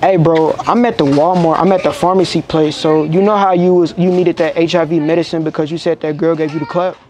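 A man's voice: on the high side, steady tone, a little fast, a little mellow. A man in his twenties chatting casually and slangily in public, as a prankster vlogger. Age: 20s. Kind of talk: casual slangy street chat. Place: public places, in a store and out on city streets.

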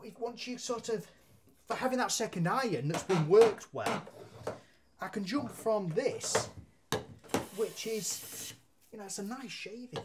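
A down-to-earth man in his thirties, his voice sitting a little high for a man. He talks through a woodworking demonstration in a brick workshop, loose and chatty, dropping mild swears and local slang as he works at the bench. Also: background noise. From England. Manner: talks while handling and planing wood at a bench. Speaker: woodworking teacher. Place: indoor workshop with brick walls.

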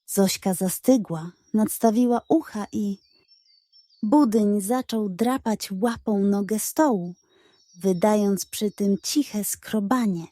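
A woman narrating a scripted children's bedtime story in an expressive storyteller's manner. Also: medium pitch, no echo. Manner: expressive, unhurried.

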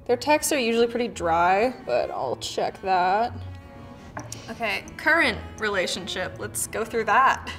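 A woman's voice: mid-range voice, big pitch swings, unhurried, music in the background. A woman of twenty-two, chatting casually as she scrolls her sister's phone, often giggling. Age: 20s. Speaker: participant. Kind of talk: casual reality-show commentary.

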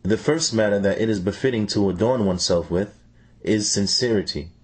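A man reading out a translated religious lecture in a measured way as a translator. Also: on the low side, breathy edge. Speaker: translator reading an Islamic scholar's answers.

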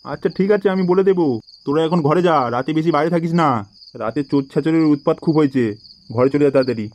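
A man's voice: a little high, fast talker, a little mellow, a little hiss or hum, lightly acted. A man voicing a crotchety, protective old village grandfather in an amateur comedy cartoon, grumbling as he talks. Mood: grumbling, scolding. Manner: grumbling, scolding.

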